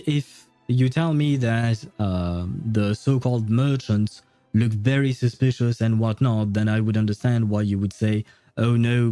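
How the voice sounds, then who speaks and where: A man's voice: on the low side, mellow, dry recording. A man livestreaming a game, explaining and commenting in a casual, informal, opinionated way. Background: game music.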